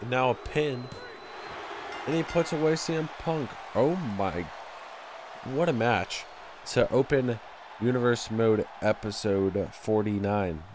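A young man with a stuffy nose doing excitable play-by-play commentary over a wrestling video game, breaking into loud exclamations, recorded at home on a desk mic. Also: mid-range voice, takes it slowly, a faint crowd, slight background noise. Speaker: gameplay commentator.

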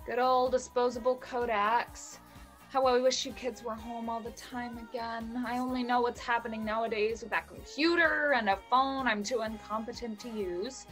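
A woman's voice: a little high, fairly even intonation, normal speed, fairly bright tone, faint music behind, lightly acted. A young woman playing a doting, blunt, sassy fifty-year-old mother in a play reading over a video call. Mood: nostalgic, excited. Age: young adult. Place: home bedroom, indoors. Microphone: video call on computer.

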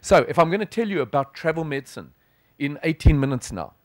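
A man's voice: on the high side, no room sound. A middle-aged South African man, a sports physician, lecturing at a conference from a lectern with conversational, emphatic delivery. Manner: conversational, animated with gestures, emphatic pauses. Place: conference hall stage at a lectern. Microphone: lectern microphone.

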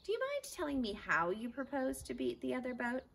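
A woman's voice: high voice, very animated, fairly quick, vocal fry, a bit bright, voice pushed up, heavily acted. Blustery storybook boat owner, read by a woman. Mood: wary, firm. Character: blustery grown man, proud owner of toy schooner. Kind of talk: children's storybook read-aloud. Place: outdoors in a backyard garden. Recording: outdoor ambient noise.

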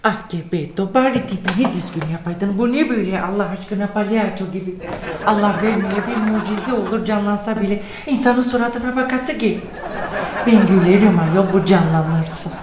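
An actress playing the fussy, scolding pious wife of an old woodcarver in a comedy sketch. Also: female voice, mid-range voice, moderate pace, crowd noise, close to an everyday voice. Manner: scolding, chatty, laughs through lines. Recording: roomy studio with audience and hiss.

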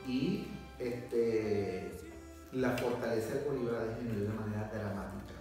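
A Venezuelan man in his forties, a pastor and former doctor, giving a testimony interview in a measured, hesitant way with many fillers. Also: fairly low pitch. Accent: Venezuelan Spanish. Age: 40s. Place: indoor room, seated on sofa. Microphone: far camera. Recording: echoey room from a distance, music behind.

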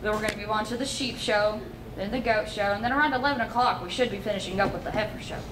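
A woman's voice: medium pitch, fast. Young woman reading an event list, echoey room. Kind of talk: scripted skit announcing events. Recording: echoey room, distant mic, noise.

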